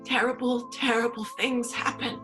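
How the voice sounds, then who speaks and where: A woman's voice: fairly high pitch, level tone, normal speed, over music, close to an everyday voice, rough recording. A woman acting a tormented, melodramatic stage manager in an improvised radio soap, overwrought and repeating words for drama. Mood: anguished, haunted.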